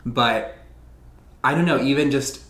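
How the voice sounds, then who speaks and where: A man's voice: medium pitch, a little slow, fairly bright tone. Man chatting candidly in an echoey room. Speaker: podcast guest. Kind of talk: casual chat.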